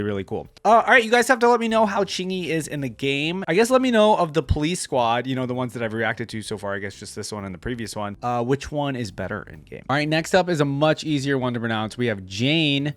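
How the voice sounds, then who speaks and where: A man's voice: medium pitch, no room sound. A man hosting a reaction video, talking casually and informally with frequent exclamations.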